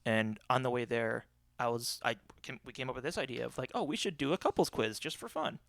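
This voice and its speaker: A man's voice: mid-range voice, brisk, gravelly, fairly bright tone, no echo. A young American man hosting a casual podcast, goofy and self-deprecating, rambling off into tangents. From New York State, US. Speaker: podcast host. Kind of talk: casual chat.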